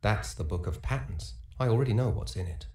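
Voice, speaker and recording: An actor playing a naive, peevish young male weaver in an adventure game, with expressive, plainspoken grumbling. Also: on the low side, a little fast, natural voice. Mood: matter-of-fact. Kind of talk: adventure game character acting. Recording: roomy echo with music behind.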